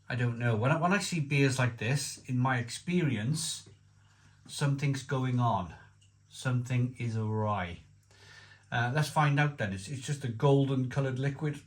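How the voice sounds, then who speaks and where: Rambling man reviewing beer. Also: medium pitch. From Wales. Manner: chatty, rambling with many restarts and asides. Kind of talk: casual beer review to camera.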